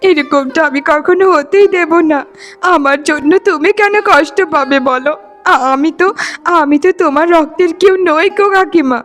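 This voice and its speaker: Lady doing a poor desperate girl begging in a cartoon, high tearful voice, music behind. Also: normal speed, fairly bright tone. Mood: pleading. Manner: tearful, imploring, repeats pleas. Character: poor desperate daughter pleading for her sick father. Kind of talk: melodramatic Bengali cartoon voice acting.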